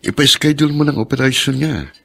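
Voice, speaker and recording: A man acting in a Filipino radio drama as a caring, steady husband, speaking in an earnest, measured way. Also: mid-range voice, gravelly. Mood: earnest, reassuring. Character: caring, steady husband and devoted son-in-law.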